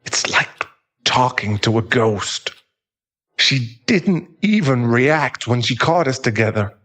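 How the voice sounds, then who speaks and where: A man playing a bitter, guilt-ridden divorced husband in an audio roleplay, halting and emotional, with some swearing. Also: mid-range voice, lively intonation, fairly bright tone. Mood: remorseful, halting.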